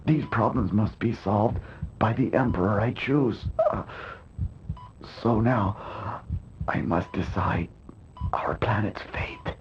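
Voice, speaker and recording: A man playing a dying old emperor in a game cut-scene, weary and solemn, with a weak, breathy, halting voice. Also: fairly low pitch. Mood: solemn, failing. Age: older. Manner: halting, laboured, grave. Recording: muffled game audio with hum.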